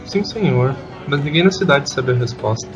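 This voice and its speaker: A man's voice: medium pitch, lively intonation, moderate pace, lo-fi sound, faint music behind, natural voice. A man playing a sad, earnest orphan street boy in an audio drama.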